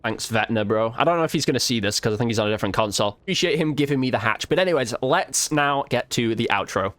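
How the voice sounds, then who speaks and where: A man's voice: medium pitch, animated, quick pace, a bit bright. A man hosting a gaming video, giving chatty, informal commentary on his play and addressing his viewers directly. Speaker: gaming video host and player. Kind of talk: casual gameplay commentary.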